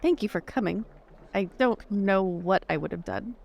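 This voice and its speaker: A woman playing a genteel young lady in improvised tabletop roleplay, gushing, halting and evasive as she apologises and reassures. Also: mid-range voice, lively intonation, gravelly. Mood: polite evasion. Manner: gushing, halting, over-polite.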